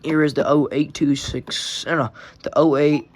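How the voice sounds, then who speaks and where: A man's voice: a little high, fairly even intonation, normal speed, a little hiss or hum. A man giving a casual show-and-tell vlog in a rambling, offhand, sweary way, recorded on a handheld phone. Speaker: hobbyist presenting a radio collection. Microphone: phone held in hand.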